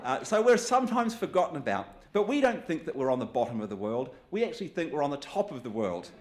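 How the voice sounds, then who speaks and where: A man's voice: fairly high pitch, very animated, fast. A man from New Zealand with a New Zealand accent gives a public talk in a conversational, good-humoured way, with light self-deprecating asides. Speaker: guest speaker.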